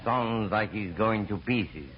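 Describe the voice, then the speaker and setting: A put-upon middle-aged husband bluffing as a mystic in a 1940s-style radio comedy. His voice sits fairly low, hesitant and dry with sarcasm. The old recording is dull, with a faint hiss. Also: slow pace. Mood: nervous, dry. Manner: hesitant, stammering, dryly sarcastic.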